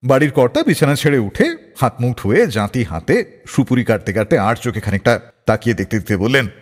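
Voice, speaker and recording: A man hosting a radio show, telling anecdotes in a dramatic, expressive scripted style. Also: mid-range voice, fairly quick. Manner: expressive, acts out quoted dialogue. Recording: long reverb on the voice.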